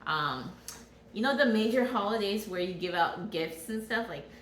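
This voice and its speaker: A woman's voice: medium pitch, expressive, normal speed, a bit bright. A woman from Ghana chats casually as a vlog co-host, hesitating and repeating herself as she searches for words. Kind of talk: casual chat with partner. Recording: roomy echo, clear background noise.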